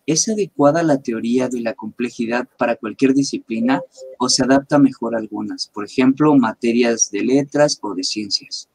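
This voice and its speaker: A man's voice: mid-range voice, normal speed, vocal fry, a little mellow, a little hiss or hum, rough recording. A male moderator on a video call, plainly reading audience questions aloud to the speaker.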